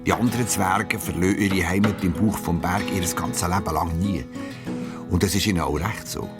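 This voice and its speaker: A man narrating a children's audio story expressively, drawing out key words. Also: low voice. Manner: expressive storytelling, drawn-out emphasis.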